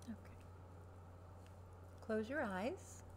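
A woman in her thirties speaking softly, quietly and soothingly in a creaky voice, as a barber in an ASMR personal-attention roleplay. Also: on the high side, lively intonation, drawn-out words. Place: small furnished room at home, indoors. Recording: noisy webcam-style home recording.

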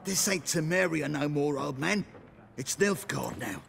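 An actor playing a threatening, gravelly tavern thug in a fantasy video game. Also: male voice, on the high side, expressive, a little slow, fairly bright tone, slight background noise, full character voice. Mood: menacing, scornful.

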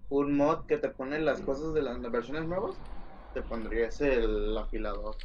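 A man's voice: mid-range voice, unhurried, dull audio, a little hiss or hum. A young man chatting with a friend over a gaming session, laid-back and slangy, laughing at his own mishaps. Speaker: co-player on call. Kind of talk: casual gaming chat.

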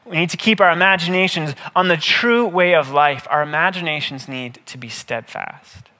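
A man in his thirties, a pastor giving a measured, scripted sermon with deliberate phrasing. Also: fairly high pitch, bright, forceful tone. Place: indoor stage, seated at a table. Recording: reverberant hall.

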